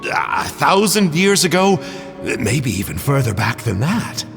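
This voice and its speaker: A man's voice: medium pitch, sing-song, unhurried, creaky. A man voicing a chatty, slyly ingratiating stranger in a video game, theatrical and fussy, in long reverb with music. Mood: coaxing, curious. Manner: theatrical, folksy exclamations, coaxing. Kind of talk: video game character acting.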